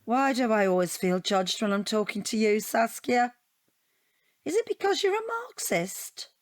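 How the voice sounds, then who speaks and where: An actress playing a chatty, defensive middle-aged British divorcee in an audio comedy drama, rambling and self-justifying with a wry edge. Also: female voice, fairly high pitch, animated, a little slow, no room sound, clean studio sound.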